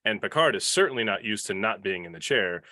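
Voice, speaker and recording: A man talking casually and opinionatedly on a podcast panel, rambling into asides and animated retellings. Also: lowish voice, fairly quick, dry recording, studio quality.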